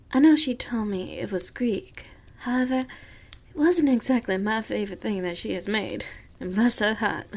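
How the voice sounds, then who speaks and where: A woman acts out a kindly young farmer's daughter with a Southern US accent. She speaks slowly in a slightly high voice, gentle and folksy, as intimate comfort roleplay for ASMR. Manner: gentle, folksy, reassuring, giggly.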